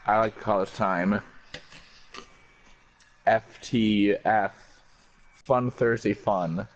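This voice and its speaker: A man with a lower voice chimes in with flat, dry one-liners. His sound is muffled and lo-fi, and his delivery stays understated. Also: slow pace, a little hiss or hum. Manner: dry, deadpan quips. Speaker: co-host. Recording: dull lo-fi sound.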